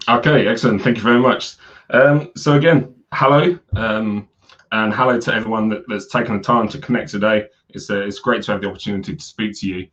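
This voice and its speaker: A man's voice: on the low side, a little fast, fairly bright tone, lo-fi sound. A man giving a live webinar talk on a video call, hesitant with many repeats and fillers, his voice worn from a cold. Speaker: guest speaker on cloud security.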